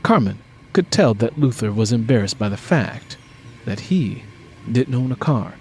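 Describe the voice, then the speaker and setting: A man's voice: medium pitch, animated, unhurried, a little mellow, a little hiss or hum, poor audio. A man reading scripted fiction as an audiobook narrator.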